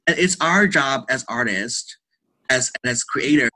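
Guy getting interviewed about his art, bright punchy voice, super earnest, repeats stuff for emphasis. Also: medium pitch, lively intonation, a little slow, poor audio. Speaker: guest, theatre director and artist. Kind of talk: conversational interview answers.

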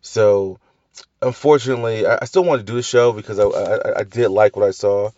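Low-voiced man rambling through a review. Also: brisk, mellow, no room sound, highs cut off. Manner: rambling with tangents, frequent restarts and mild swearing. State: slight cough left after flu. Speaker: podcast host reviewing TV shows. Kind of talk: casual solo review chat.

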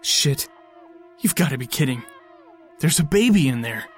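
A man's voice: mid-range voice, expressive, moderate pace, soft background music, natural voice. A man playing an anxious, exasperated hotel guest in an audio drama, earnest and scolding. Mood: worried.

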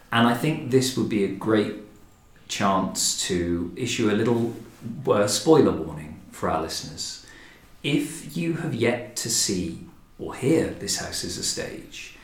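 A man hosting a podcast in a measured, articulate, deliberate and descriptive way. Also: low voice. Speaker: podcast host, director of a historic house. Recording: roomy, echoing indoor sound.

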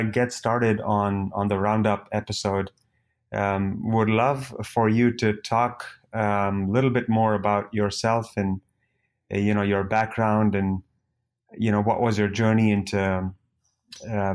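A man hosting an interview show, speaking conversationally in a polite, halting way. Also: on the low side, steady tone. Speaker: podcast host, interviewer.

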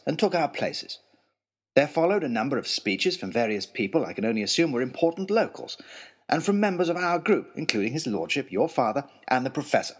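Husky, animated gentleman adventurer played by a man. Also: fairly high pitch, full character voice. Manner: wry, expressive, formal old-fashioned storytelling. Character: earnest, wry young gentleman adventurer writing letters. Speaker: narrator reading his character's letters. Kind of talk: scripted comic adventure storytelling.

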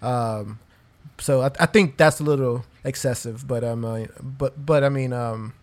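A man's voice: mid-range voice, a little slow. Laid-back swearing man saying dude. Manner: stammers and restarts phrases. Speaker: podcast co-host. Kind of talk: casual chat.

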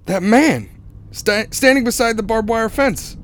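An actor playing a haunted, anxious young driver in a radio drama, narrating earnestly and stammering when agitated. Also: male voice, extremely high pitch, animated, unhurried, fairly bright tone, a little hiss or hum, exaggerated voice. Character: haunted, anxious young male cross-country driver narrating his ordeal.

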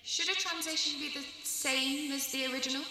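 A woman's voice: sits high, fairly even intonation, a little fast, bright, forceful tone. A woman translator in a thoughtful literary conversation, recorded in an echoey, reverberant hall.

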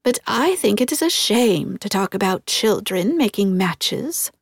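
A proper, disapproving old housekeeper, put on by a woman narrator in a storybook. Her voice is husky and lively in pitch, with prim, formal phrasing. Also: unhurried, no echo, studio quality. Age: older.

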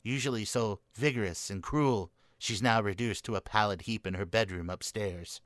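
Paranoid young heir voiced by a man, melodramatic, fretful, tense bright tone, dry close sound, gothic radio comedy. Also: lowish voice, normal speed, no room sound, natural voice. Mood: gloomy, dramatic. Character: anxious, paranoid, doom-laden young male heir.

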